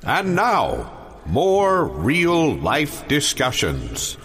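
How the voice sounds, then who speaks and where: Man acting a slow, wildly swooping announcer. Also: on the high side, huge pitch swings, noisy background, heavily acted. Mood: showy announcing. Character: theatrical announcer introducing mock real-life discussions. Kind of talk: improv audio comedy announcing.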